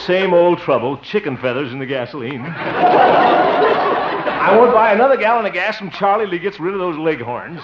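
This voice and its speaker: A man's voice: high voice, big pitch swings, normal speed, over a noisy crowd. An actor playing a blustery, exasperated, comically pompous small-town man in a 1950s radio comedy, sputtering and grumbling. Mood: grumbling to himself. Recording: very noisy muffled old radio recording.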